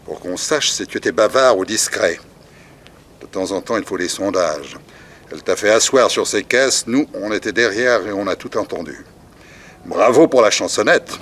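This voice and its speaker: An actor playing a cynical, commanding gang boss, curt and sardonic, in a 1960s French radio crime drama. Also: male voice, lowish voice, normal speed, fairly bright tone, slight background noise.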